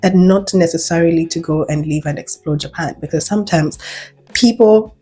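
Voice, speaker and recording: Husky low-voiced Kenyan woman giving advice. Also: moderate pace. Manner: emphatic, conversational, animated with repeated points. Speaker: English teacher giving job advice. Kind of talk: advice vlog, speaking to camera.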